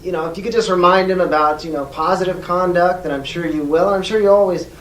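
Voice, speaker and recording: A person playing a polite schoolteacher on a call to a parent, speaking in a measured, hesitant and diplomatic way with frequent pauses. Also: medium pitch, steady tone, fairly bright tone, close to an everyday voice. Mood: encouraging. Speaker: teacher. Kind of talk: role-played phone call demonstration. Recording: echoey room, distant mic, faint noise.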